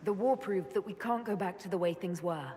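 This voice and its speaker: A woman's voice: mid-range voice, brisk, warm tone, lightly acted. An actress playing a hopeful, heroic female leader in a fantasy video game, assured and plain-spoken. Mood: earnest. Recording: hall reverb, faint noise.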